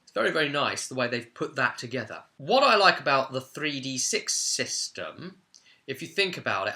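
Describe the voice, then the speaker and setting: A man's voice: mid-range voice, pushed and bright. A man presenting an informal explainer on game rules in an enthusiastic, conversational manner. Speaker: presenter of a tabletop game guide.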